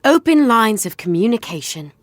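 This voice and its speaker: An actress playing a wry young daughter in a modern audio drama, polite with dry irony. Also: female voice, fairly high pitch, sing-song, moderate pace, dry recording. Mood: polite, uneasy. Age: young adult. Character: wry young daughter humouring her overbearing father.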